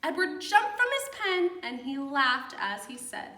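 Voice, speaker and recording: A woman's voice: high voice, big pitch swings, a little slow, pushed and bright. A woman reading a picture book aloud to young children as a teacher, expressively, explaining as she goes and gasping for effect. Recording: echoey room, distant microphone.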